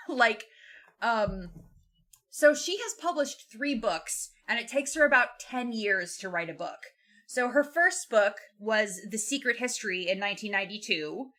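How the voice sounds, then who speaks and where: A woman's voice: a little high, lively intonation, a little slow, a bit bright. A woman hosting a podcast, talking casually about books in a hesitant, conversational, joking way. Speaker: podcast host. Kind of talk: casual book discussion.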